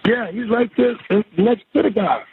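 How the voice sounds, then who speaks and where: On a thin, noisy phone line, a man acts out a shaken bystander calling for emergency help. His high-pitched voice is halting, informal and pleading.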